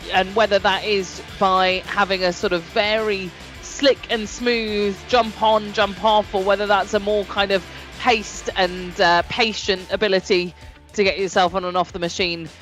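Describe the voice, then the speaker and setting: A woman's voice: medium pitch, poor audio. A woman giving rowing analysis as co-commentator, reflective, with many fillers.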